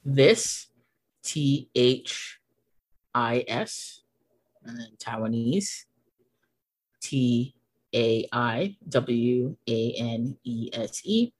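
Low-voiced chatty young woman. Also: lively intonation, extremely slow, long pauses, a little mellow, slightly rough, poor audio. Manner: chatty, laughs often, fillers like "like". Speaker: podcast guest. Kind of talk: casual conversation.

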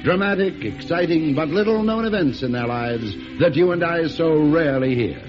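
American man, radio announcer, high voice, proclaiming dramatic intro, blaring music behind, muffled old broadcast sound. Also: expressive, normal speed. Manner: proclaiming, emphatic. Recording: dull old radio recording. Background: loud music.

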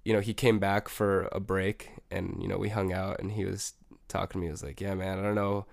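A man's voice: deep voice, little pitch movement, a little fast, creaky, dry recording, clean studio sound. A young man, a music producer, chatting casually as an interview guest with a laid-back manner and many fillers. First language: Spanish.

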